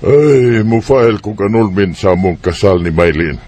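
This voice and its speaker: An actor voicing a weary father in a radio drama, husky and plain-spoken with his children and wife. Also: lowish voice, animated, normal speed, a little mellow. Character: tired father facing divorce.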